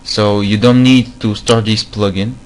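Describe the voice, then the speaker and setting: A man with a non-native accent walks through a game-modding tutorial at a slow, unhurried pace. His voice sits a little low, and he stops often for fillers and restarts. A faint background hum runs under his desktop mic. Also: fairly even intonation. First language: not English. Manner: hesitant, many fillers, repeats himself. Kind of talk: screen-recorded tutorial.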